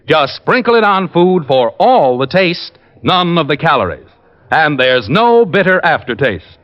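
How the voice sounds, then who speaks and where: A man's voice: on the high side, very animated, a little slow. An American man reading scripted announcements and adverts in 1950s radio style, on a muffled old recording with music behind. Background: prominent music.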